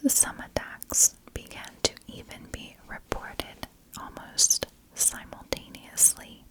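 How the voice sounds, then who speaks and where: A woman narrating a scripted tale in ASMR style, in a hushed and deliberate storytelling manner. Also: low-quality recording. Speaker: ASMR storyteller and channel host. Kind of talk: whispered ASMR scripted narration of a historical legend.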